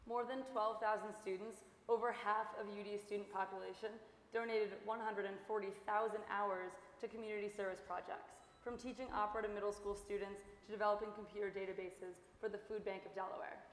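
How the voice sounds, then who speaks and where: A woman's voice: medium pitch, fairly even intonation, brisk, few pauses. A young woman giving a scripted stage recitation as a student performer. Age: young adult. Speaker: student performer in a readers theater. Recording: echoey noisy hall, distant stage sound.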